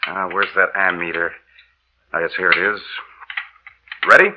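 A man's voice: low-pitched, steady tone, normal speed, muffled recording, background noise, natural voice. An actor playing a trusting, earnest inventor betrayed by his partner, delivered with emphatic old radio horror drama acting. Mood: eager, excited. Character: trusting, eager male inventor, now a bitter dead narrator. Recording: old 1930s-40s radio broadcast recording.

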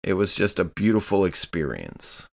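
A man's voice: low-pitched, wildly swooping pitch, normal speed, vocal fry, a little mellow. A man casually narrating his character's actions in a tabletop game, in a loose, rambling way.

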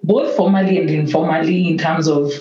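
A woman's voice: low voice, fairly even intonation, brisk, dark tone. A woman with a Kenyan accent answering conversationally as a guest in a podcast interview. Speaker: podcast guest, tech activist and executive director. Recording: roomy, dull lo-fi call-like sound.

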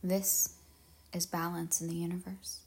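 A woman leading a guided meditation in measured, solemn phrases with pauses, her husky voice trailing into breathiness. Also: lowish voice, level tone, darkish tone.

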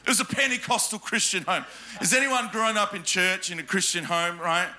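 High, bright Australian preacher. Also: male voice, a little fast. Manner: emphatic, questions the congregation, jokes with them. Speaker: pastor. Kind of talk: sermon with personal stories. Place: church hall before a congregation.

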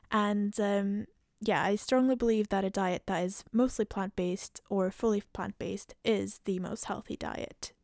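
A woman's voice: mid-range voice, a little mellow, dry recording, studio quality. A woman in her twenties from Central Europe with a mostly Scottish, slightly international accent, speaking informally and explaining things as a solo podcast host. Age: 20s. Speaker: podcast host, medical student.

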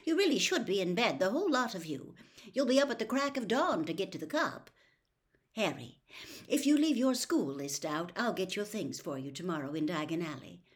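A woman's voice: medium pitch, big pitch swings, normal speed, natural voice. A woman voicing a fussing, bossy middle-aged mother.

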